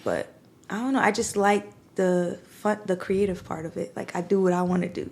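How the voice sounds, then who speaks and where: A woman's voice: fairly low pitch, fairly even intonation, normal speed, darkish tone. Laid-back Jamaican woman chatting casually. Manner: laid-back, many fillers like "like" and "you feel me". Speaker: podcast guest, content creator. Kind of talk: casual chat.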